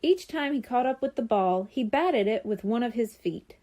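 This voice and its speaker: A woman's voice: a little high, animated, normal speed, mellow. A woman narrating a scripted children's audiobook.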